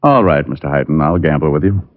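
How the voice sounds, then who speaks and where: An actor playing a cocky, sardonic private detective in 1940s radio style. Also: male voice, very deep voice, lively intonation, fast. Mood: businesslike. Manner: sardonic, glib. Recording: tinny old radio recording, faint music.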